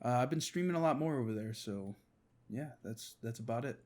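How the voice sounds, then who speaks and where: A man's voice: mid-range voice, a little fast, a little mellow. A man co-hosting a podcast, chatting informally in a loose, rambling way. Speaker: podcast co-host. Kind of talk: casual chat about games.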